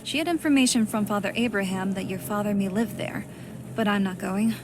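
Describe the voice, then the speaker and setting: An actress playing a stern, composed investigative reporter in a video game, with a controlled, measured, admonishing delivery. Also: female voice, medium pitch, background noise, close to an everyday voice. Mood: grave, determined. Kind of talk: dramatic game voice acting.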